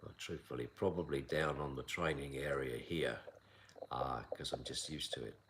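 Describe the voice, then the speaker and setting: A man of around sixty from England, accent softened by years in Asia, answering viewer questions in a calm, measured live chat as a kung fu teacher. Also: a bass voice, a little hiss or hum. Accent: English accent softened by long years in Asia. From south London, England. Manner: calm, measured, unhurried with frequent pauses. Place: outdoor mountain training area.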